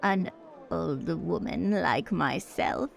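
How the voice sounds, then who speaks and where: Woman as a slow, creaky old noblewoman. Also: on the low side, a little hiss or hum, full character voice. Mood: insistent, flirtatious. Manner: drawn-out, imperious, coaxing. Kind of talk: improvised tabletop roleplay.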